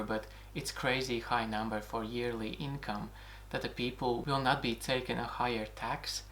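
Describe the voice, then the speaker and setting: A man's voice: mid-range voice, fairly bright tone, slight background noise. A man from Latvia with a Latvian accent, talking earnestly and indignantly in an opinion monologue to camera. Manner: earnest, indignant, repeats "crazy" and "mad". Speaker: video blogger.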